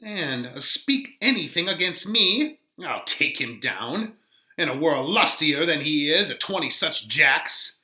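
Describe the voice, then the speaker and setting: Man putting on an indignant, high-swooping old nurse. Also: big pitch swings, a little slow, sharp tone, heavily acted. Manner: flustered, huffy, emphatic. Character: indignant, comical old woman, a chattering nurse. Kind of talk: dramatic Shakespeare reading.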